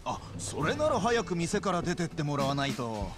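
An actor playing a young convenience store clerk in a video game, polite but unyielding. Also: male voice, fairly high pitch, big pitch swings, normal speed, over music. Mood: polite refusal. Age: young adult. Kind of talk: acted video game cutscene dialogue.

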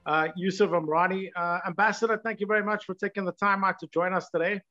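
Brisk high-voiced male interview host. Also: fairly even intonation, pushed and bright, highs cut off, soft background music. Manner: polite, brisk questioning. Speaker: talk show host. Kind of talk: interview hosting. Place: virtual background. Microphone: video call webcam.

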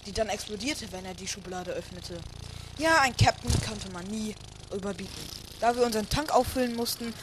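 Male storyteller for comic audio drama, lighter high register, scripted first-person narration, clear background noise. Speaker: narrator and lead character. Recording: clearly noisy homemade recording.

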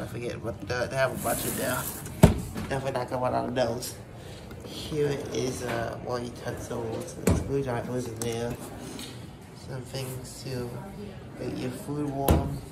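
Gravelly man rambling on a phone walkthrough. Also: mid-range voice. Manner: rambling, halting, many fillers and restarts. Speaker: vlogger giving a house tour. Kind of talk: casual handheld walkthrough narration. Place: indoors in a rental house kitchen and living room. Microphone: phone camera held while walking. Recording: loud handling noise and clicks.

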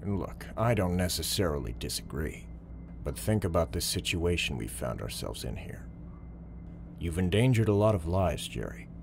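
An actor playing a calm, earnest police officer in an audio drama, negotiating in a measured, pleading way. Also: male voice, low-pitched, creaky, background noise, heavily acted. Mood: calm, steady.

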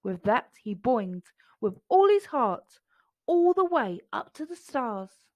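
A woman reads a storybook aloud for children. Her voice is fairly high and very animated, recorded close and dry. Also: slow pace, no echo, highs cut off.

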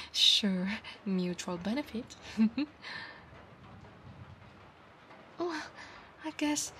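A woman acts out a female centaur knight in an ASMR roleplay, doting and possessive toward her human. She has an Italian accent and takes her time, murmuring softly and often whispering, with plenty of creak in the voice. Some background noise sits behind her. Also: a little high. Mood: fond, eager. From Italy. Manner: soft, breathy murmurs, often slipping into whispers.

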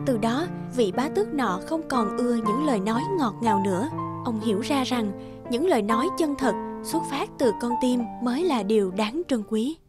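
A woman's voice: fairly high pitch, expressive, moderate pace. A woman reading scripted fairy tale narration for a cartoon. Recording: music loud behind narration.

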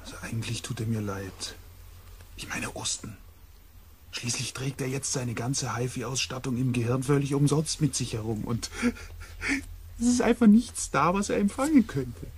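A man's voice: medium pitch, animated, moderate pace, natural voice. An actor playing a wry, musing male expedition member in a sci-fi radio drama. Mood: wry pity. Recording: faint ambient noise.

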